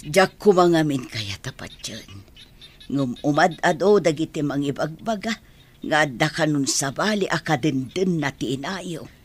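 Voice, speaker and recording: A woman's voice: low-pitched, lively intonation, moderate pace, husky. A woman with an Ilocano Filipino accent playing a caring, worried older mother and grandmother in a radio drama, coaxing and soothing.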